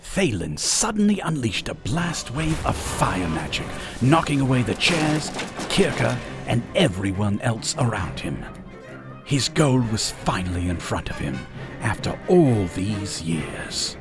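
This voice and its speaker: Male narrator for fantasy drama: measured, very unhurried, expressive delivery, clear music bed underneath. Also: mid-range voice. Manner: measured, deliberate storytelling.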